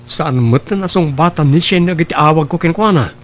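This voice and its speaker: A man's voice: a little high, a little fast, close to an everyday voice. A man acting an earnest young suitor in love in an Ilocano audio drama.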